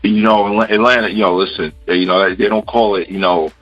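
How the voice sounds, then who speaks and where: A man's voice: lowish voice, steady tone, fast, muffled recording, faint music behind. A man from Queens, New York hosting a radio interview, talking casually and often saying "you know.". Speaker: radio host.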